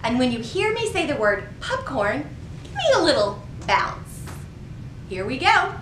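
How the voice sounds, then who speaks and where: A woman in her thirties hosting a children's storytime, reading aloud and teaching in an animated, deliberate, sing-song way. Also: sits high, very noisy. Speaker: children's librarian and storytime host. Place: public library children's section.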